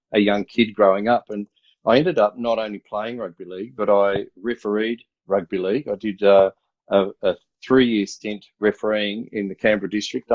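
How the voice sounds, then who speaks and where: A man in his fifties with an Australian accent, an athletics coach telling stories in a relaxed, rambling way over a video call. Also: fairly low pitch, no echo, highs cut off. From country New South Wales, Australia. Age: 50s. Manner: relaxed, rambling, many uh fillers. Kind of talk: casual remote interview storytelling.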